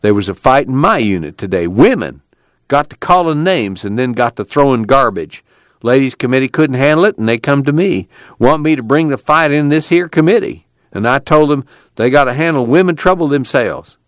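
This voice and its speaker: A man's voice: medium pitch, lively intonation, moderate pace, no echo, clean studio sound, pitched above the performer's own voice, lightly acted. A man voicing a tubby, outspoken migrant worker in a storybook, blustery and salty with folksy rural speech. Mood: boastful.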